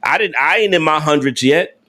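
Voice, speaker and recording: A man's voice: on the high side, a little fast, bright, forceful tone. Emphatic man talking on a livestream. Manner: emphatic, repetitive, rhetorical questions to chat; slangy informal speech. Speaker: livestream host. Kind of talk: casual livestream talk to viewers.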